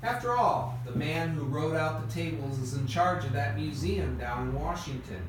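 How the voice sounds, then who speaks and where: A man's voice: a little high, expressive, normal speed, close to an everyday voice. A man playing a confident, wry inventor in an amateur radio play. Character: Wilbur Wright, confident, wry adult male inventor. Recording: echoey room, distant mic, noisy background.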